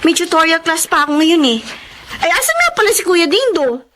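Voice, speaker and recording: High-voiced young Filipino woman, earnest breadwinner daughter. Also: fairly quick, slight background noise, full character voice. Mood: caring, busy. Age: young adult. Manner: emotive, expressive. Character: weary, hardworking eldest daughter, earnest dreamer, breadwinner. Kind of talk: Filipino radio drama acting.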